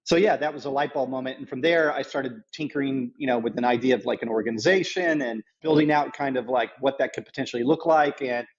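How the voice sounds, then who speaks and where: A middle-aged man speaking as an interview guest in a conversational storytelling way, haltingly, often repeating phrases. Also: medium pitch, lo-fi sound. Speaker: podcast guest, nonprofit founder.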